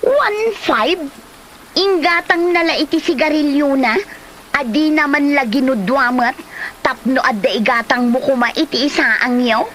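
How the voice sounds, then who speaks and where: An actress as a gossipy, indignant Filipino woman friend, speaking in a fairly high voice at a slow, emphatic pace. It sounds like comedy drama, with faint background noise. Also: fairly bright tone, low-quality recording. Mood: incredulous indignation. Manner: exclamatory, incredulous, emphatic.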